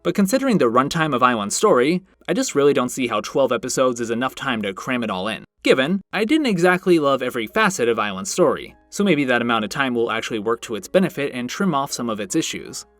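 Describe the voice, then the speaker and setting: A man presents a scripted review in a conversational, slightly wry tone. His pitch sits in the middle of the male range and moves around in a lively way. Soft music plays behind his narration. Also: fast, few pauses, no echo, clean studio sound. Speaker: video reviewer, channel host. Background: background music.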